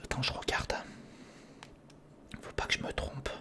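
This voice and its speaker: A man giving whispered ASMR commentary on a hands-on build, murmuring to himself as he thinks and chatting informally to the viewer. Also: measured pace. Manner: murmurs to himself while thinking through steps; informal, chummy address to the viewer. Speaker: ASMR video host.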